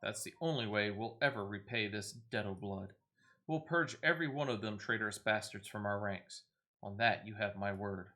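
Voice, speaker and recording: A man reading game dialogue as a gruff, blunt seafaring veteran who curses and talks in folksy turns. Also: lowish voice, moderate pace, sharp tone. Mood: bitter, resolute. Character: gruff, salty male seafaring veteran commander.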